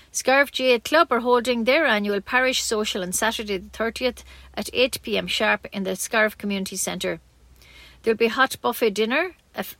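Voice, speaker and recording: A woman reading a local community radio news bulletin in a measured, friendly way, with small slips now and then. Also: on the high side, a bit bright, no echo. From Ireland.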